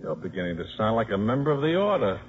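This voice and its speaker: A wry wisecracking coach dad in an old 50s radio play, brash, kinda dull lo-fi sound. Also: male voice, lowish voice, very animated, quick pace. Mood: wry, resigned. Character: wry, fast-talking, cynical football coach and father. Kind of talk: 1950s radio drama acting. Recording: muffled 1950s radio broadcast.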